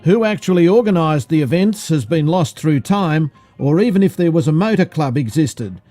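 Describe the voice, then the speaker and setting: A man's voice: a little high, animated, normal speed, mellow. A man with an Australian accent reading scripted narration in the style of an old cinema newsreel. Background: music.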